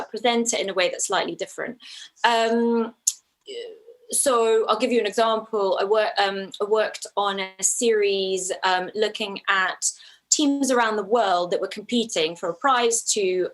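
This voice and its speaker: Woman, from Ukraine, documentary filmmaker, interview guest, conversational, thoughtful, halting with frequent restarts, mid-pitched voice. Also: low-quality recording.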